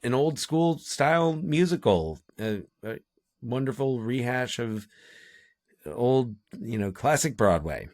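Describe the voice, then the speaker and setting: A man's voice: mid-range voice, expressive, takes it slowly, dry recording. Dude on a movie podcast, mid voice, dry sound, keeps restarting his sentences, casual chat. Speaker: podcast co-host.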